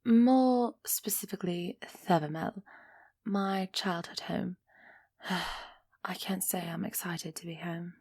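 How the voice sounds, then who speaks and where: A woman's voice: on the low side, slow, a little mellow, no room sound, studio quality. A woman playing a young runaway elf heiress in an intimate roleplay audio drama, defiant yet anxious and affectionate, often sighing and sinking into whispers. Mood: anxious, tender. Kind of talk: roleplay ASMR audio drama, close and breathy.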